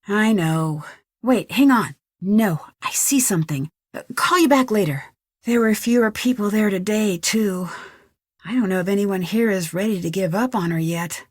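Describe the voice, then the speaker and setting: A woman's voice: mid-range voice, moderate pace, no room sound, close to an everyday voice. Actress doing young lady detective from a mystery game, curious n polite, asks stuff earnestly, dry studio sound. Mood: concerned, matter-of-fact. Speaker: video game voice actor. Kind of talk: scripted game dialogue, clear and natural.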